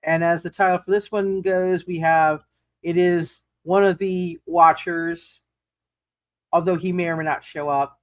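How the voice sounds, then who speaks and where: A man's voice: on the high side, steady tone, a little slow, no room sound. A man hosting a roleplay livestream as game master, chatting casually and often repeating words and restarting his sentences. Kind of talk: casual livestream chat and recap.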